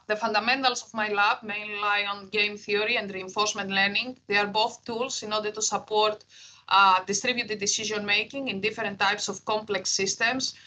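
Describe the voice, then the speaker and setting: Bright-voiced Greek-accented woman lecturing. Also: medium pitch, low-quality recording. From Greece. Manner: frequent "uh" fillers, earnest and explanatory. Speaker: associate professor giving a keynote. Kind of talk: online lecture with slides. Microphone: video call.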